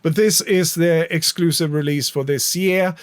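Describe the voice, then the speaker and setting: A man's voice: on the high side, steady tone, moderate pace, dry recording. Chatty Swedish-accented man. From Sweden. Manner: chatty, rambling with frequent fillers. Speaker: record collecting vlogger. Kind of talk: casual chat to camera.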